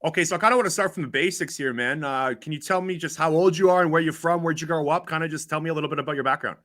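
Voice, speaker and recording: A man's voice: a little high, very fast, fairly bright tone, no echo, clean studio sound. A Canadian man hosting a podcast, doing a casual conversational interview. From Ontario, Canada.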